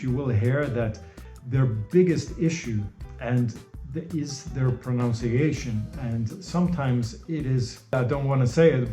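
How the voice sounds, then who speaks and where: Mellow-voiced man. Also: mid-range voice, roomy sound. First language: Norwegian. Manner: loose and repetitive, full of "you know" and restarts. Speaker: host. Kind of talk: casual advice monologue.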